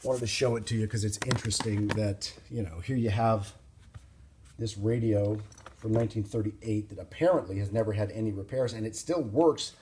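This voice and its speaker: A man giving an informal, unscripted hands-on demonstration as a hobbyist, explaining things in a plain-spoken, halting way. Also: fairly low pitch, steady tone, darkish tone, a little hiss or hum. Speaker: vintage radio hobbyist presenting a demonstration.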